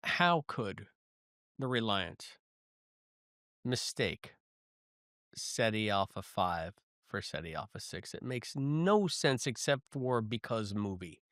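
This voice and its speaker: A man co-hosting a podcast, casually reviewing and discussing, with animated, halting delivery and emphatic repetition. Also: lowish voice, dry recording, studio quality. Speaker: podcast co-host. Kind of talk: casual review discussion of a sci-fi audio drama.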